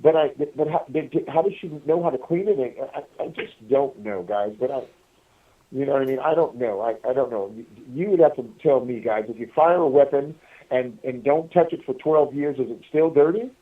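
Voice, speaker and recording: A man telling stories in a casual, rambling way, halting and repeating himself as he addresses his listeners over a telephone. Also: mid-range voice, recorded from a distance. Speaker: podcast guest calling from prison. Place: prison phone call. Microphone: telephone handset. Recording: tinny, band-limited prison phone line.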